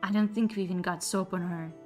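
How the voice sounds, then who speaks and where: A woman's voice: medium pitch, fairly even intonation, fast, darkish tone, faint music behind, natural voice. A woman voicing a polite, teasing female creature who works as a bathhouse attendant in a fantasy story. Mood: musing.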